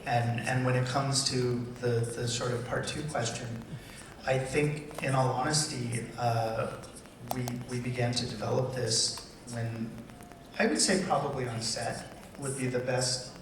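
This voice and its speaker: Man, panel producer, unscripted, thoughtful and halting, level intonation, distant echoey event recording. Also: medium pitch, steady tone. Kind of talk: unscripted answers at a public talk session. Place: large event hall, public presentation. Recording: very echoey hall, distant, noisy with interference.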